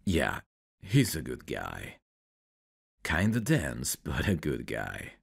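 Man acting a very deep, gravelly flirty guy. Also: huge pitch swings, full character voice. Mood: easygoing, wry.

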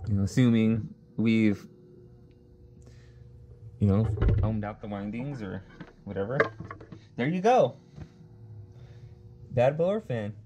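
A man's voice: fairly low pitch, takes it slowly, pauses often, dull audio, background noise. A man teaching HVAC troubleshooting at a workshop bench, talking casually step by step and thinking aloud as he tests a circuit. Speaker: HVAC technician instructor. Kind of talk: hands-on troubleshooting demo. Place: workshop bench with a test board.

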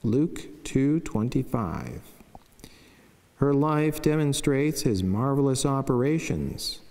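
A middle-aged man, a friar, solemnly reading a scripted devotional text in a measured way at a chapel lectern. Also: mid-range voice, animated, vocal fry, darkish tone. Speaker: Franciscan friar and priest. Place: wood-panelled chapel, indoors. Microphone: lectern microphone. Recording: long church reverb.

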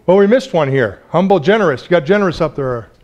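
Lively, chatty male preacher. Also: on the high side, quick pace, dull audio. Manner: lively, chatty preaching with laughs and repeated restarts. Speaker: pastor. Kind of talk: sermon. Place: small church sanctuary.

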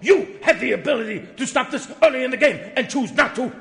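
A man's voice: very high-pitched, expressive, brisk, vocal fry, heavily acted. A man voicing a pompous, outraged company executive in a theatrical dramatic reading of threatening emails. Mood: outraged bellowing. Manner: pompous, overwrought, swinging from hushed to shouting. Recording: long echoing hall reverb.

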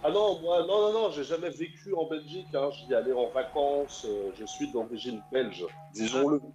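Homme de trente ans, voix qui résonne. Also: male voice, fairly high pitch, moderate pace, darkish tone, lo-fi sound. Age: 30s. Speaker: caller. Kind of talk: casual radio call-in chat. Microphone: call over the internet. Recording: roomy echo, faint music behind.

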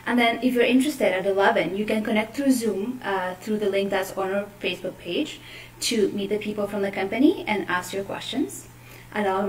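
A woman's voice: medium pitch, a little mellow. A young adult woman hosting an event and making an introductory announcement. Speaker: event host. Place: meeting room indoors. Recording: echoey room, slightly distant, faint noise.

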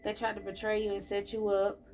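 A woman's voice: mid-range voice, completely flat delivery, brisk, a little mellow, faint music behind. A woman giving a casual tarot reading, chatting straight to her viewers. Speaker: tarot reader. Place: indoor table with candles and plants.

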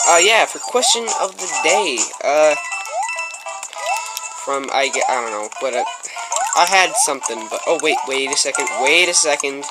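Excitable rambling male gamer, loud game music. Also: mid-range voice, low-quality recording. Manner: excitable, rambling, many fillers. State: runny nose. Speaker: gameplay commentator. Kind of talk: casual game commentary. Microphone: camera microphone.

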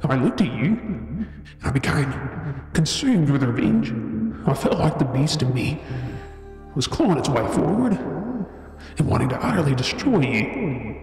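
An Australian werewolf played by a man, earnest and troubled, in an ASMR audio drama. He speaks with hesitant intensity in a mid-pitched voice, with music playing beneath him. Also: very animated, unhurried, pauses often, a little mellow, breathy edge, heavily acted. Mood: intense, haunted. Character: earnest, troubled young male werewolf boyfriend.

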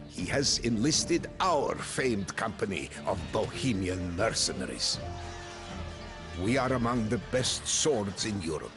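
An actor playing a grim, proud medieval Bohemian mercenary commander, narrating his story solemnly and deliberately for a video game. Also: fairly low pitch. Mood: stern. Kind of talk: dramatic scripted first-person narration. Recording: clean voice-over with music behind.

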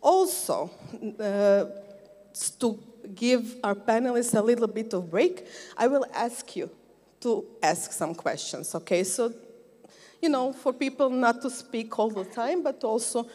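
A woman's voice: a little high, unhurried, dark tone. A woman, moderating a live panel on a handheld microphone, chatty and friendly, often checking in with "okay?". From Croatia. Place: large sports hall used for a conference. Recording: long reverb of a big hall.

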